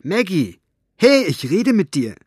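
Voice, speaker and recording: A man voicing a gruff, sharp-tongued woman book collector who talks brusquely and mockingly. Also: fairly high pitch, lively intonation, normal speed, dry recording, studio quality, voice pushed up, natural voice.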